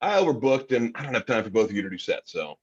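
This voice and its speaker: A man's voice: mid-range voice, big pitch swings, fast talker, a little mellow, breathy edge. A man playing a corny open mic host in his fifties, in an amateur table read heard on dull call audio. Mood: offhand.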